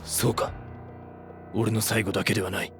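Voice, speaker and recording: A man's voice: lowish voice, little pitch movement, normal speed, a bit bright, faint music behind. An actor playing a serious, watchful male comrade of the hero in a Japanese audio drama. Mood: grave.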